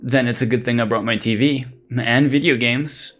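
A man's voice: mid-range voice, fairly even intonation, moderate pace, faint music behind, lightly acted. A man acts out an earnest young son just starting college. He is devoted and anxious, speaking in an everyday mid-range voice in an audio drama. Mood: excited. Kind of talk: amateur audio drama acting.

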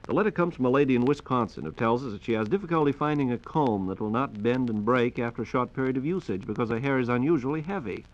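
An American man announces in a formal 1940s radio style, speaking quickly and politely at a medium pitch. The recording is dull and narrow, like an old transcription disc. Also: animated. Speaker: radio program host and announcer.

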